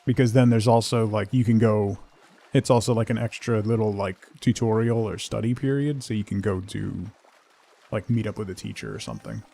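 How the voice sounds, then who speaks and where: A man hosting a game livestream, rambling casually and haltingly as he thinks aloud and replies to viewers. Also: lowish voice, fairly even intonation, gravelly, mellow. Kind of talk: casual chat while playing, answering viewers.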